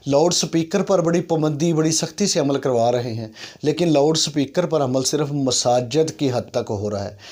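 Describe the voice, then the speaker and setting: A man's voice: medium pitch, a little mellow. A man with a Pakistani accent delivering an earnest, complaining opinion monologue, emphatic and full of rhetorical repetition. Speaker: YouTube commentator. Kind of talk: opinion monologue on civic issues.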